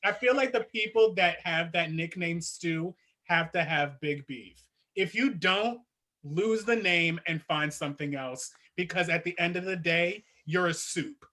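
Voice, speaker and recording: A young woman chatting casually as host, sassy and animated, laughing often. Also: fairly low pitch, unhurried. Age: young adult. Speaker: podcast host. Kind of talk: casual chat.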